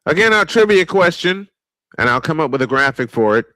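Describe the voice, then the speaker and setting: A man calling a baseball game live, unhurried, filling the pauses between pitches. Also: big pitch swings, pushed and bright, dry recording, poor audio. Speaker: play-by-play baseball announcer. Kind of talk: live sports commentary.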